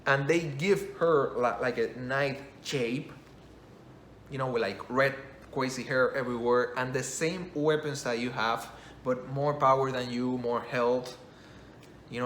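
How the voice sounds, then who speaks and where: A man's voice: medium pitch, steady tone, measured pace, a bit bright, a little hiss or hum. Rambling man, non-native English speaker. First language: not English. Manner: rambling, self-correcting, enthusiastic. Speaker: game commentator. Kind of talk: casual spoken review. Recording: echoey room, faint ambience.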